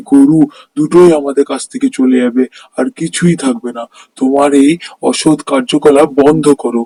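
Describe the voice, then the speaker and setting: A man's voice: medium pitch, warm tone, husky, no room sound, rough recording. A man playing an honest, hardworking village milkman in a fairy-tale cartoon, speaking earnestly and pleadingly. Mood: disapproving.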